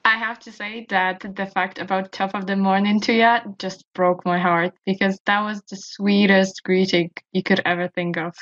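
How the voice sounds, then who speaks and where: A woman's voice: medium pitch, flat delivery, normal speed, hardly any pauses, a bit bright. A woman hosting an interview, asking friendly questions over a video call. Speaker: interviewer host. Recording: dull lo-fi call audio.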